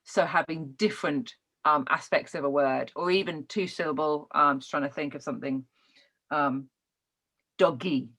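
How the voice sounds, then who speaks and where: A woman's voice: deep voice, normal speed, a bit bright. A woman with a British accent teaching child development in an informal lesson, explaining points and checking in with her learners.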